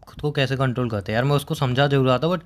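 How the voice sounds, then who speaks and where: A young man, speaking quietly at a mid pitch. His voice is hoarse and tearful. He talks haltingly on a phone call, reluctant at first and hesitant as he goes on. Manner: subdued, halting, reluctant to open up. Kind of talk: emotional phone conversation.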